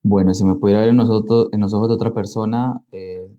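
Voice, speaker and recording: A man's voice: lowish voice, steady tone, fast, highs cut off. A man in his twenties with a Colombian accent, telling his own story conversationally as an interview guest. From Cali, Colombia. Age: 20s. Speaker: interview guest, digital marketing entrepreneur.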